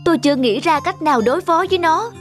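A woman's voice: high voice, fast talker, faint music behind, lightly acted. A woman voicing a small, anxious mother songbird, earnest and pleading, in a cartoon fable. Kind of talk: cartoon animal fable acting.

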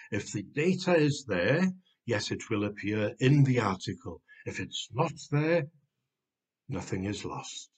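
Welsh-accented older man explaining calmly. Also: mid-range voice, husky. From Wales. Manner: measured, explanatory, some restarts. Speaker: Wikimedia manager presenting a tutorial. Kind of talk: screen-recorded tutorial narration. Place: home room, indoors.

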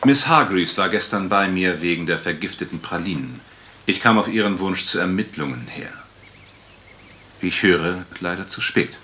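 A man playing a brisk, insistent investigator in a radio crime drama, with clipped, probing questions. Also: low voice, expressive, sharp tone, a little hiss or hum. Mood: probing, businesslike.

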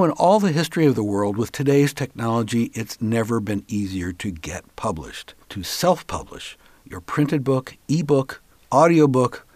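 A man hosts a podcast with a very animated delivery. His voice is recorded close and dry, and he moves between conversational chat and promotional talk. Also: medium pitch, breathy edge, dry recording. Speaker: radio host.

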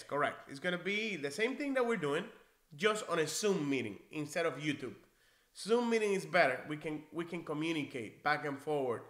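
A man from Cuba in his forties with a bright, slightly raised voice, talking through viewer questions like a friendly, encouraging teacher. He sits at his desk in a small office, caught on a webcam mic. The room rings with echo. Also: on the high side. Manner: friendly, encouraging, conversational with restarts. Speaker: NCLEX prep instructor. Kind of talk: live-stream Q&A, reading viewer comments. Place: small indoor office, seated in leather chair.

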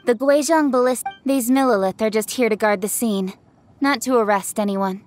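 A woman's voice: on the high side, moderate pace, faint music behind, natural voice. A woman voicing a confident, blunt young noblewoman and official in a fantasy video game, formal and assertive. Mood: brisk, self-assured.

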